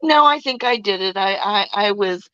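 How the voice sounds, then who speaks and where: A woman joining a live stream as guest by phone, her voice gravelly from a respiratory illness. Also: medium pitch, animated, normal speed, fairly bright tone, no echo, dull audio. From USA. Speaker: guest reporter. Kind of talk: casual video call chat. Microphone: phone on a video call.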